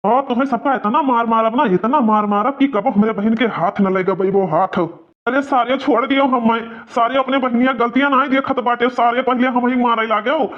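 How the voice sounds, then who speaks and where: A man's voice: extremely high pitch, fairly even intonation, normal speed. A man voicing a quarrelsome, blustering village man in an Awadhi cartoon comedy, scolding in exaggerated dialogue. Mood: angry, blustering. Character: blustering, quarrelsome village men of a feuding family. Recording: dull, lo-fi cartoon soundtrack.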